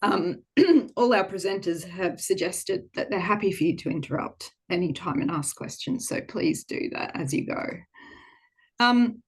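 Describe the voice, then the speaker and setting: A woman with a slightly low voice hosts a webinar over a video call. A head cold leaves her a bit croaky, and she speaks in a relaxed, informal way with plenty of ums. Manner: warm, frequent ums, relaxed informal phrasing. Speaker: webinar co-host. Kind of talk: online webinar presentation.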